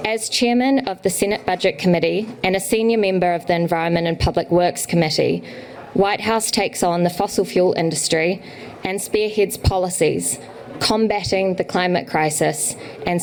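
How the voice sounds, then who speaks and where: A woman with a New Zealand accent opens a conference session. Her voice sits low for a woman. She speaks in a measured, welcoming way as she introduces the program and the speaker. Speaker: event host. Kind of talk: formal event introduction.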